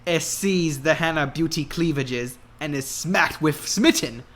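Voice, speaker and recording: A man gives a comic dramatic reading of fan fiction. His voice is bright and fairly high, and he goes slowly, with exaggerated, halting delivery. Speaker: narrator.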